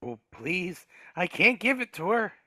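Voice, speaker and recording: A woman's voice: fairly low pitch, sing-song, measured pace, sharp tone, dry recording, studio quality, exaggerated voice. A woman doing a hesitant guilty dwarf. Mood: pleading. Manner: hesitant, mumbling. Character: guilty gruff male dwarf, timid.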